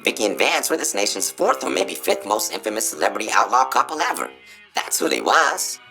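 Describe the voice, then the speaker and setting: A man's voice: mid-range voice, big pitch swings, brisk, creaky, over music. A man playing a folksy, boastful cowboy robot spokesbot in a video game, with a showy American cowboy drawl. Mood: boastful storytelling. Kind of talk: theatrical Old West tall-tale patter.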